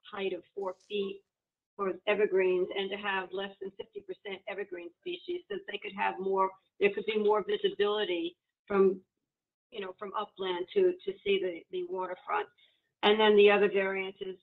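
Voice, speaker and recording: A woman reporting a committee's findings at a public board meeting, pausing often with fillers and restarts. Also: medium pitch, gravelly. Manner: halting, many fillers and restarts. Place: home, video call. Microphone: video call. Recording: tinny phone-like video call audio.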